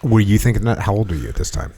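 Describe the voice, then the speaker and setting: A man's voice: lowish voice, lively intonation, very fast, mellow, husky. A middle-aged man hosting a podcast, asking blunt, probing questions and telling a story plainly. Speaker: podcast host. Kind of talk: casual conversational interview.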